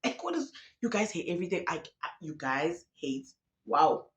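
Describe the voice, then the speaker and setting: A woman's voice: lowish voice, big pitch swings, moderate pace, echoey room. A young woman YouTuber, ranting passionately in casual commentary, recorded alone in a room. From South Africa. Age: young adult. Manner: passionate, ranting, mixing in local slang.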